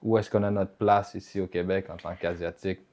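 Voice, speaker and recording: A man's voice: low-pitched, little pitch movement, brisk, darkish tone. A man from Quebec with a Québécois French accent, talking earnestly as a podcast host in a casual conversation and slipping in English words. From Quebec, Canada.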